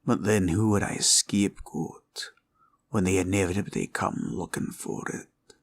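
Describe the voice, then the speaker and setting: A man voicing a sly, greedy green dragon villain in a fantasy roleplay, with a husky growl and taunting, drawling delivery. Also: deep voice, heavily acted. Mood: menacing, taunting. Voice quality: gravelly, husky, breathy growl. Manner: slow, drawling, taunting, archaic turns of phrase.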